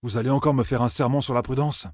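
A man's voice: medium pitch, steady tone, fast talker, a little mellow, no echo, studio quality, pitched above the performer's own voice, lightly acted. A man voicing an earnest young blacksmith who gives orders firmly. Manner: firm, commanding.

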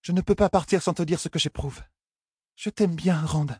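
An earnest young noblewoman in love, played by a male narrator, speaking high and husky in a storybook reading. She is soft and coy, yet bold, and the sound is close and dry. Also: steady tone, moderate pace, dry recording. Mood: anxious, earnest.

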